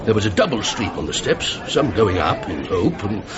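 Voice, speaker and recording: A man's voice: fairly low pitch, sing-song, normal speed. An actor playing a gullible, flustered English tradesman in a radio drama, rambling and hesitant but emphatic. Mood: garrulous, indignant wonder. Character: gullible, garrulous, flustered red-haired London tradesman. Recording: muffled radio play, crowd noise behind.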